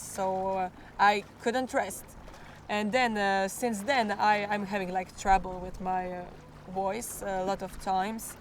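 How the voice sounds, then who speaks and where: A teenage girl from Croatia, speaking English with a Croatian accent, answering interview questions about singing in a klapa. She sounds a little hoarse and often pauses to search for words. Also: medium pitch, slight background noise. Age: teens. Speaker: klapa singer.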